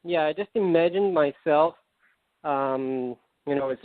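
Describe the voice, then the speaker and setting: A man's voice: a little high, dry recording. An Israeli man speaking English as a second language, circling his thoughts with lots of "um" and repeated words. He comes through a narrow, phone-like line. The sound is dry, with no room around it. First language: Hebrew. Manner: halting, many fillers and restarts. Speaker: singer-songwriter guest. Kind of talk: casual interview answers.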